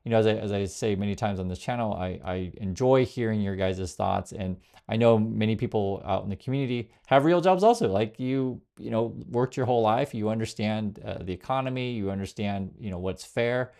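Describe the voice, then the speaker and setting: A man, born in Vietnam, talks straight to his viewers in a loose, rambling way, full of fillers and restarts. His voice sits a little low and has a gravelly, fry-tinged edge. Now and then he slows down to read viewer comments aloud. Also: mellow. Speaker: political commentary host. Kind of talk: casual direct-to-camera commentary.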